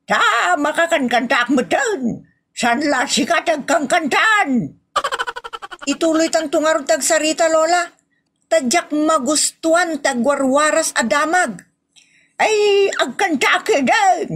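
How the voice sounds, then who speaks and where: A woman's voice: high voice, expressive, slow pace, fairly bright tone, heavily acted. A woman acting a brash, argumentative young woman who loves karaoke, in an Ilocano comedy audio drama, with a whiny, exclaiming delivery. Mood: argumentative, chatty.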